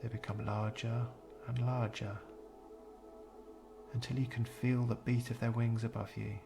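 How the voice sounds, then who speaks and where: A man narrating a scripted guided meditation in a measured, soothing, gentle way, pausing between phrases. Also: medium pitch, flat delivery, creaky, over music.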